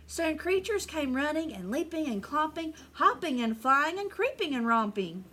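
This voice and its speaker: A woman reading a children's storybook aloud in an animated way, pausing to put questions to her young listeners. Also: high voice. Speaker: elementary school teacher reading aloud.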